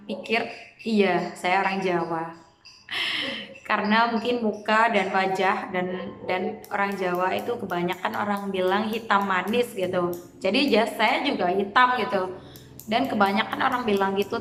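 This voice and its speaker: A young woman from Cambodia chats to camera, her accent clear as she talks in a language she learned. She often stops and fills the gaps while she looks for words. The room gives her voice a noticeable echo. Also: mid-range voice, fairly bright tone, slight background noise. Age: young adult. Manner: hesitant, many fillers and pauses. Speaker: vlogger. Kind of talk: casual vlog monologue. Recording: echoey room.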